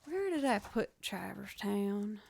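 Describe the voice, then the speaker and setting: A young woman with a lower voice, chatting casually on a live music stream, self-deprecating and quick to laugh. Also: big pitch swings, vocal fry, a little mellow.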